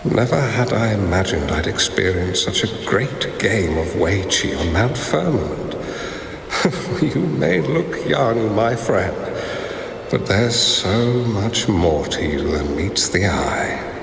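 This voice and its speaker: Slow, gravelly old game master. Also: male voice, lowish voice, animated, slight background noise, heavily acted. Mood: admiring, gracious. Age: older. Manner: formal, measured, gracious. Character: courteous old master of board games, humbly admiring. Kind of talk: video game voice acting. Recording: echoey long reverb with music behind.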